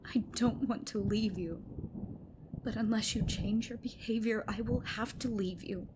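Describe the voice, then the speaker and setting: A woman's voice: on the high side, steady tone, unhurried, background noise, voice pushed up, close to an everyday voice. A woman voicing a stern, upset mother who warns and pleads with her children in an ASMR folk tale. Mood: sad pleading. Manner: pleading, admonishing. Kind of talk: whispery ASMR storytelling.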